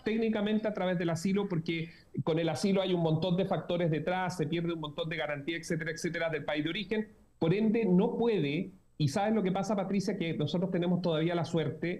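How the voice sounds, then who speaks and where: A man's voice: high-pitched, a little mellow, muffled recording. A Chilean man with a Chilean Spanish accent, a journalist speaking as a guest in a political interview, earnest and emphatic, repeating key words.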